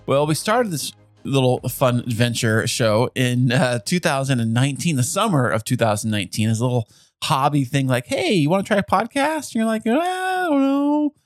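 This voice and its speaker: A man's voice: mid-range voice, expressive, moderate pace, no room sound, clean studio sound, soft background music. A man co-hosting a podcast in casual chat, easygoing and joking with his co-host.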